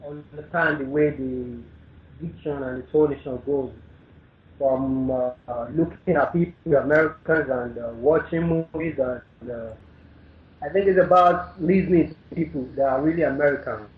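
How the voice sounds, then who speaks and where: Man, slow, many fillers, phone-like sound, echoey. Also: on the high side. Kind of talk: caller in a chat.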